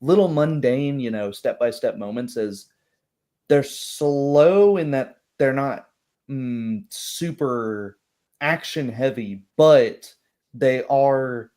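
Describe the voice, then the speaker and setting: A man's voice: mid-range voice, slow pace, mellow. A man chatting casually as a game master, thinking aloud and searching for his words. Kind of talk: casual chat.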